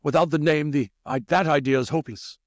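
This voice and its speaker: A man voicing an earnest, commanding older rat leader for an audiobook, with a measured, questioning delivery. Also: fairly high pitch, no echo, studio quality, close to an everyday voice. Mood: concerned, probing. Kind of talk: audiobook character voice.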